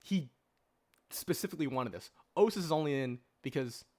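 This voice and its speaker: A man's voice: on the high side, animated, normal speed, darkish tone. A man in a casual podcast debate, arguing his points at length with rhetorical questions and plenty of "like". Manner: argues at length with rhetorical questions, frequent filler "like". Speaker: podcast panelist.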